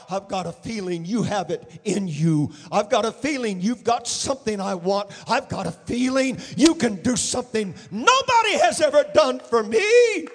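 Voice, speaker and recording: High-pitched preacher in a long echoing hall. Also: male voice. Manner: dramatic, acts out biblical figures in quotes, shouts at peaks. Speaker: pastor. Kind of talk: sermon.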